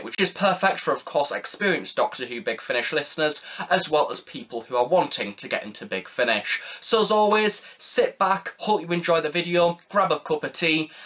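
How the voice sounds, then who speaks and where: A man's voice: a little high, sharp tone. A man hosting a review video, presenting a scripted countdown with wordy, formal phrasing. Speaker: review channel host.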